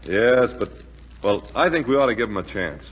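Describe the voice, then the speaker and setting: A man's voice: on the low side, sing-song, moderate pace, slightly rough. An actor playing a level-headed, somewhat hesitant airman in a 1940s radio war drama. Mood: measured, doubtful. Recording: noisy, muffled 1940s radio recording.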